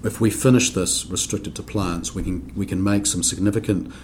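A man from New Zealand with a New Zealand accent, explaining accounting rules on a podcast in a measured way, with frequent ums and restarts. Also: deep voice, steady tone, a little mellow, a little hiss or hum. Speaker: accounting standards board member. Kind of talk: podcast discussion.

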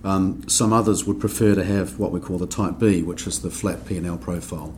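A man with a New Zealand accent and a deep, low voice talks through technical points at a steady, explanatory pace on a podcast. He hesitates often with ums and restarts. Faint hiss sits under the voice. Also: a little fast. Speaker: accounting standards board member. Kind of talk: podcast discussion.

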